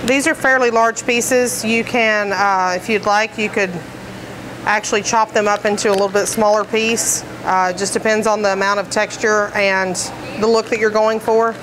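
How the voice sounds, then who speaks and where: A woman's voice: mid-range voice, fairly even intonation, moderate pace, noisy background. A woman bakery trainer explaining decorating steps in plain, practical terms, with frequent fillers. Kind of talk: instructional demonstration. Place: commercial kitchen, indoors.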